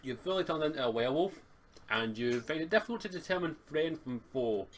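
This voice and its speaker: A man's voice: on the high side, expressive, brisk. Scottish man running a tabletop game, faint noise. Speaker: game master. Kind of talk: casual game narration with dice calls. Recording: home mic with faint noise and clicks.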